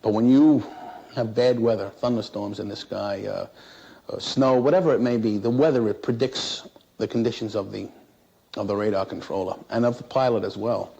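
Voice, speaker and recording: A man who works as an air traffic controller recounts his experience in an interview in a halting, plain-spoken, matter-of-fact way. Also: fairly low pitch, vocal fry, mellow, slightly rough, dull audio. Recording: noisy 1960s interview recording.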